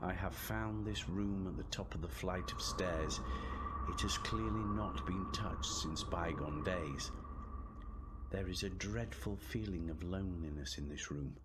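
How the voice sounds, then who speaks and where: A fearful, resolute captive narrates his journal in a radio drama. His voice is very low and unhurried. A clearly noisy, busy atmosphere sits behind him. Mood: uneasy.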